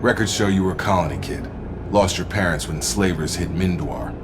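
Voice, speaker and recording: A man's voice: sits low, fairly quick, close to an everyday voice. A man playing a loyal, easygoing soldier in a sci-fi video game, straightforward and supportive. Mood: earnest. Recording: loud background music. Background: game music.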